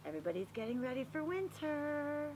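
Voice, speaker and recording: A woman reading a picture book aloud to young children, animated and expressive, pausing to explain and ask questions. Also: high voice, a little hiss or hum. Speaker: read-aloud storyteller for children.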